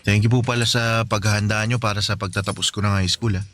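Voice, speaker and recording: An actor playing a polite, devoted teenage son in a Filipino radio drama, speaking respectfully, earnestly and with some hesitation. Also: male voice, on the low side, fairly even intonation, fast talker, fairly bright tone, slight background noise, natural voice. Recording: music bed under the voice.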